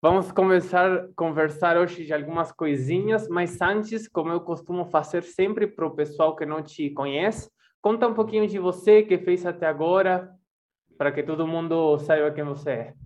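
Man hosting, slightly high. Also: normal speed. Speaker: podcast host and classical guitarist. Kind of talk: podcast hosting.

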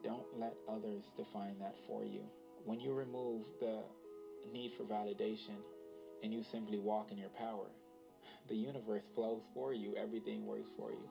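A man's voice: lowish voice, steady tone, normal speed, gravelly. A young man raised in Los Angeles and born in Ghana, earnestly reflecting in an interview clip with casual fillers. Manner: earnest, fillers of "like" and "you know". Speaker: tech designer in a profile clip. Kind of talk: reflective personal interview monologue. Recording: dull clip played with music behind. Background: music.

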